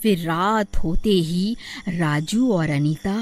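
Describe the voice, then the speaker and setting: A woman reading scripted narration for an animated story. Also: on the low side, slow, a little hiss or hum. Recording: faint music behind the voice.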